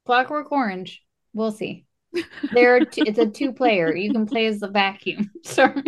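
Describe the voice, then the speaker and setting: A woman's voice: a little high, animated, normal speed. A woman co-hosting a podcast with playful, joking, casual banter. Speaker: podcast co-host.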